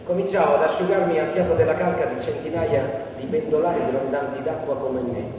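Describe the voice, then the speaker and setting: In a big, noisy, reverberant hall, heard from a distance, an actor plays an embittered laid-off factory worker. The man declaims a weary theatre monologue with dramatic swings from hushed to loud. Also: fairly high pitch, fairly even intonation, brisk, close to an everyday voice. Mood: mournful.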